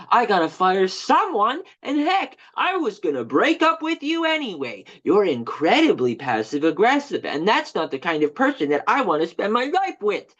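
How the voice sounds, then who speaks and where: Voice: mid-range voice, a bit bright, dull audio, pitched above the performer's own voice. An actor doing a mocking impersonation of a dismissive male boss and ex-lover, blunt and breezy. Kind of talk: mocking impersonation within a monologue.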